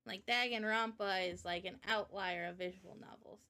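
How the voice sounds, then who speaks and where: A woman's voice: mid-range voice, steady tone, normal speed, sharp tone. A woman in a casual interview chat about games, speaking informally and with opinions as the host. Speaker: host and game developer.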